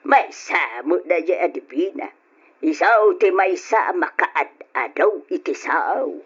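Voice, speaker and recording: A woman playing a caring, devout older mother in a radio drama, with a husky voice and an Ilocano accent, speaking with emotion. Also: fairly low pitch, lively intonation, takes it slowly, heavily acted. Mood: concerned, fond. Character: caring, devout older mother comforting her grown daughter. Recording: dull old radio drama recording.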